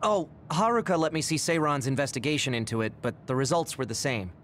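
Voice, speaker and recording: A man's voice: medium pitch, fairly quick, fairly bright tone, a little hiss or hum, close to an everyday voice. A man playing a confident, easygoing young informant in a video game. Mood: upbeat. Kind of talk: video game cutscene acting. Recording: faint music behind the voice.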